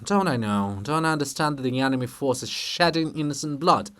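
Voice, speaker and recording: Brooding elderly poet statue for a stage drama, read by a man: melancholic, heavy-hearted, unhurried. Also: mid-range voice, expressive, a bit bright, pitched below the performer's own voice, natural voice. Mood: troubled. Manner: mournful, slow, melancholic. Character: monument of a brooding, anguished old poet, male.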